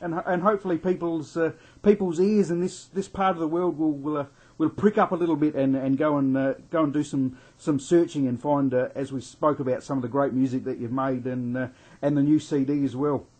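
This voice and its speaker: A man hosting a radio interview, speaking haltingly and repeating his words. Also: fairly high pitch, steady tone, a little mellow.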